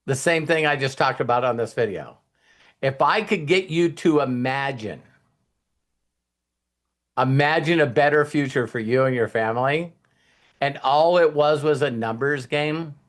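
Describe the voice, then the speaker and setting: A man's voice: mid-range voice, unhurried, long pauses. A man hosting a casual motivational talk in a rambling, folksy, anecdotal way. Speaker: host of a motivational team talk.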